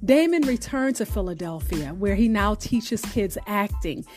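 A woman radio host reading a scripted show introduction with deliberate, announcer-like phrasing. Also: mid-range voice, expressive, darkish tone. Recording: music bed behind the voice. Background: music.

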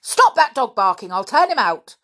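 A woman's voice: mid-range voice, big pitch swings, moderate pace, background noise. A woman voicing an irritable man, a fierce uncle snapping angry complaints in a storybook.

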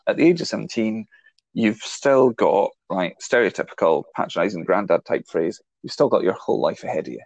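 A man's voice: fairly low pitch, lively intonation, fairly quick, gravelly, darkish tone, no echo, dull audio. A man in his forties co-hosting a casual film chat, explaining his ideas at length and teasing his younger co-host. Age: 40s. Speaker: podcast co-host.

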